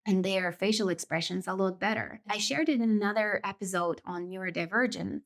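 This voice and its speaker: A woman's voice: mid-range voice, creaky. Thoughtful Russian-accented woman hosting a podcast. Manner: thoughtful, measured, self-correcting. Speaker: podcast host. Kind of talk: reflective solo podcast monologue.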